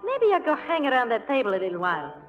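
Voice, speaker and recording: An actress playing a sassy, defiant saloon singer with a saucy, challenging manner in a 1940s Western radio drama. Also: female voice, high voice, wildly swooping pitch, quick pace. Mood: sly, casual. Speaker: actor. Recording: muffled 1940s radio recording with music.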